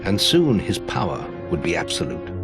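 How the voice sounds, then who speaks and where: A solemn fantasy game narrator. His very deep voice tells the tale portentously, over clear background music. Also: wildly swooping pitch, normal speed, exaggerated voice. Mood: foreboding. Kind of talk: dramatic scripted narration.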